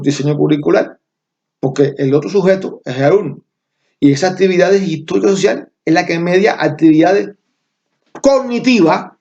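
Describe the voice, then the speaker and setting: A man's voice: on the high side, vocal fry, a little mellow, muffled recording. A middle-aged man from Cuba with a Cuban Spanish accent, giving an online university lecture, stressing key terms and checking in with students.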